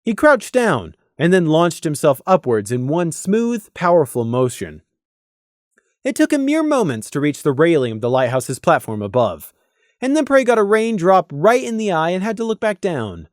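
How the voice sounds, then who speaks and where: A woman reading scripted story narration in an expressive, dramatic way, as an audiobook narrator. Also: sits low, no room sound, clean studio sound.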